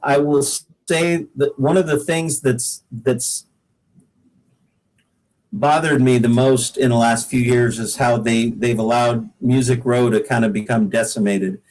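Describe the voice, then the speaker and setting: A man's voice: mid-range voice, steady tone, a little slow, darkish tone, poor audio. An American man from the Pacific Northwest, a guest singer-songwriter, chatting casually in a folksy, rambling way and repeating words as he goes. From United States, Pacific Northwest. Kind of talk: casual podcast chat.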